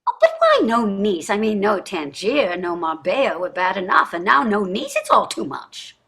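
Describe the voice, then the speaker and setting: A woman's voice: medium pitch, wildly swooping pitch, normal speed, a bit bright, husky, heavily acted. An actress reading the part of an exasperated, wistful wealthy wife in her sixties in a staged play, theatrical and sardonic. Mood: sardonic, dismayed.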